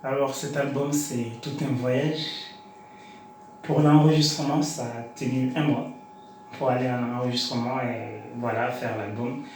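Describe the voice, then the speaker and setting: A man's voice: medium pitch, steady tone, measured pace, darkish tone. A man with a Comorian accent and Shikomori as his mother tongue, giving conversational, explanatory interview answers as a guest. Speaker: interview guest, slam poet. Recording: very echoey room with faint noise.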